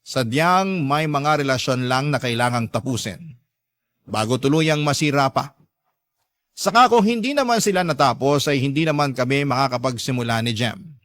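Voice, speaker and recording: A man with a Filipino accent hosting and narrating a scripted dramatic story in a measured, sentimental way. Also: mid-range voice, a bit bright. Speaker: storytelling host. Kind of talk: scripted dramatic narration, reading a listener's letter.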